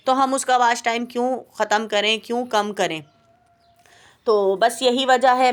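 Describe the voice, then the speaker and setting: A woman with a sore, coughing throat, chatting in a rambling, plaintive voice-over for her cooking channel. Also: mid-range voice. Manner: plaintive, complaining, rambling with hesitations. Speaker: home baker and cooking channel host. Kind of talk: voice-over vlog chat. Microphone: home voice-over mic.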